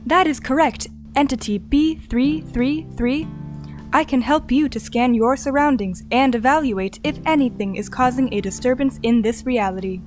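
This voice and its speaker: A woman playing a calm, formal guide entity, speaking in measured, precise phrases in an audio drama. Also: sits high, music in the background, lightly acted. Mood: calm, procedural. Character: calm, formal artificial guide assisting an explorer. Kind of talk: audio drama mission briefing.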